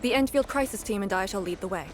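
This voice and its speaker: A woman's voice: medium pitch, fast talker, faint music behind, close to an everyday voice. An actress playing a resolute, commanding woman in a video game story, with formal, declarative delivery. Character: resolute, commanding woman leading a crisis team.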